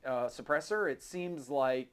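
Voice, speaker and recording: Clear-voiced man, casual podcast host. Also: mid-range voice, a bit bright. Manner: halting, thinks aloud, informal. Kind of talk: casual podcast chat about gear.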